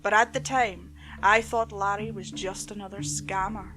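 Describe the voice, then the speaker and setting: A woman reading a scripted creepypasta story as host and narrator. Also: medium pitch. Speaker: host and narrator of horror stories. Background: music.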